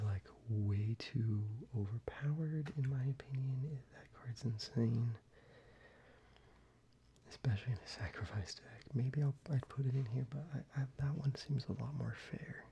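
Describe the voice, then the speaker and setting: Soft-spoken man, gravelly half whisper. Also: mid-range voice. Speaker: ASMR creator. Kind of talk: soft-spoken ASMR show-and-tell. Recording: slightly muffled, with faint ambient noise.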